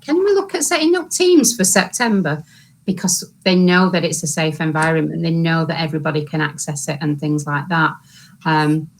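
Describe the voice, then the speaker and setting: A woman working as a local authority e-learning consultant, speaking conversationally in an online panel with many "sort of" fillers. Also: sits low.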